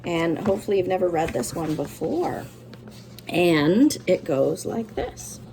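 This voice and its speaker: A woman's voice: lowish voice, sing-song, a little slow, gravelly, dark tone, slight background noise, low-quality recording. Woman teacher reading aloud to children. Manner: simple encouraging explanations to young listeners. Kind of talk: children's read-aloud with friendly asides.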